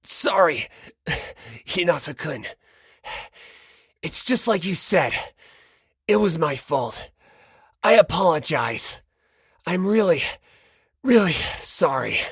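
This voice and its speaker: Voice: mid-range voice, lively intonation, takes it slowly, long pauses, breathy edge, dry recording, studio quality, pitched above the performer's own voice, full character voice. A reader voicing a self-deprecating, earnest teenage boy in an audiobook, politely and effusively apologetic. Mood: earnest, contrite. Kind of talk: dramatic audiobook character reading.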